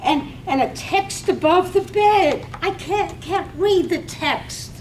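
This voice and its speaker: An actress playing a scandal-loving village wife in a stage reading of a poetic radio drama. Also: female voice, slow, a little mellow. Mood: entranced. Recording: echoey room, distant mic, faint rumble.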